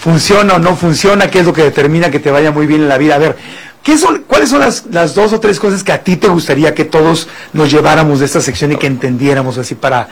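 Radio host, man, fairly high voice. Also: fast talker. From Mexico. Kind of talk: radio talk show conversation.

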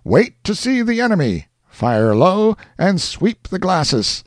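A man voicing an older fort commander who shouts orders in a theatrical, melodramatic way. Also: high voice, sing-song, slow, no echo, clean studio sound, voice pushed up, heavily acted. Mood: commanding.

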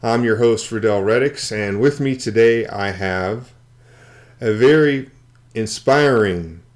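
A man hosting an interview show in a conversational style. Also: on the low side.

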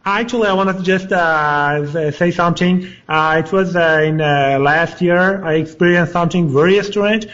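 A man calling in to a radio show over Skype, speaking haltingly with many fillers; the call sounds hollow. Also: high voice, a bit bright, muffled recording. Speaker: caller.

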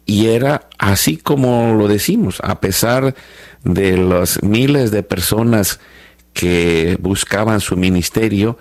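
A man's voice: fairly low pitch, darkish tone, no room sound. A man in his fifties with a Mexican accent, talking reflectively and hesitantly as the host of a conversational interview. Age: 50s. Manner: hesitant, reflective, searching for words. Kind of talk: conversational testimony in a panel interview.

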